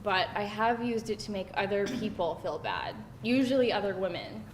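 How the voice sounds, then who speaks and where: A woman's voice: expressive, moderate pace, natural voice. A woman playing a frank, sardonic twenty-eight-year-old career woman in a screenplay table read. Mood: dry, aggrieved. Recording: roomy echo, rumbly background noise.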